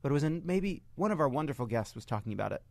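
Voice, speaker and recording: Male podcast ad reader, slightly high voice, playful and off-script, very dry sound. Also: lively intonation, racing, creaky, no room sound. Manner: joking, playful. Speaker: podcast host reading an ad. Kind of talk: loose ad-libbed sponsor read. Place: small dry studio.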